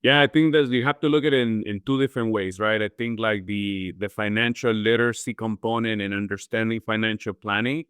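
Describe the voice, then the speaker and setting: Even, low-voiced man from Chile explaining. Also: brisk, few pauses, clear, resonant tone, no echo, studio quality. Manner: explanatory, often seeks agreement with "right?". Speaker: podcast guest, financial planner. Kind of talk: conversational explanation in an interview.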